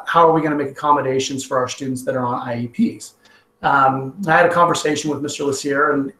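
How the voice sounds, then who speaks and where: A man's voice: a little high, fairly bright tone. A middle-aged man in his forties speaking as a school principal at an online town hall, talking conversationally with frequent ums and restarts over a laptop webcam at home. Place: home room with wall clock. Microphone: laptop webcam on video call. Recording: echoey room on video call.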